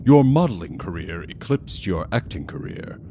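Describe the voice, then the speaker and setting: An actor playing a dry, menacing studio fixer who interrogates in clipped, deliberate audio drama style. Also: male voice, sits low, very animated, warm tone, slight background noise. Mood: dry, accusing. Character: menacing studio fixer investigating murders, dry adult man.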